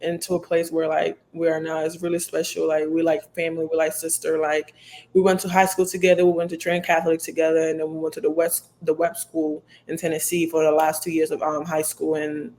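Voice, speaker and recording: A woman's voice: deep voice, monotone, fairly quick, a little mellow. A young woman from the Dominican Republic with a Dominican accent, Spanish her first language, answering interview questions earnestly with many "like" fillers. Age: young adult. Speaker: college basketball player.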